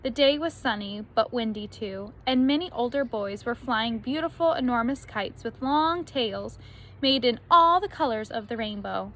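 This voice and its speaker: A woman reading a children's story aloud like a teacher, expressively, with animated emphasis on key words. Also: fairly high pitch, normal speed, a little hiss or hum.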